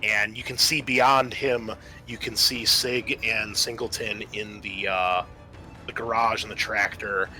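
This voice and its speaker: A man's voice: lowish voice, steady tone, a little slow, bright, forceful tone, poor audio. A man acting as game master, narrating a horror tabletop roleplaying game in an improvised way, mixing storytelling with rules talk and dry asides. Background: background music.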